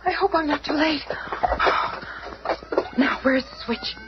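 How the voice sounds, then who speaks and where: Heroine, radio play: highish, anxious, earnest, urgent, slightly muffled old recording. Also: female voice, unhurried, heavy background noise. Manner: urgent, concerned. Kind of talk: radio drama acting.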